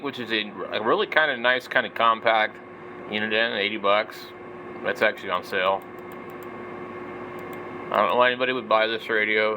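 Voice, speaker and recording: A man's voice: on the low side, noisy background. A man in his forties giving casual, off-the-cuff product commentary, rambling and thinking aloud. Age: 40s. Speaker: vlogger, radio hobbyist.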